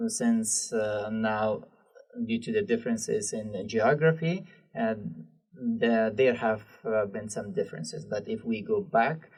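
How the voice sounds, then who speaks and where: A man's voice: fairly low pitch, a little mellow, husky, distant mic, poor audio. A man from Afghanistan, a guest language expert in a podcast interview, speaking hesitantly with many fillers. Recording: voice from off the mic.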